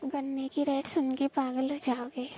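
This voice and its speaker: This is a high-voiced woman from Rajasthan speaking over a recorded phone call. She teases and bargains in a coy, playful way, but her pitch barely moves. A faint hiss sits under the line. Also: flat delivery, moderate pace, warm tone. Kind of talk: staged flirtatious phone call.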